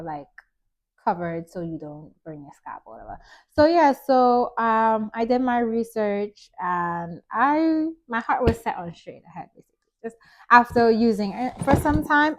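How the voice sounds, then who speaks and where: A woman from Trinidad who works as a hair stylist, chatting casually to camera with lots of ums and likes. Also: fairly high pitch. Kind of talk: casual vlog chat to camera.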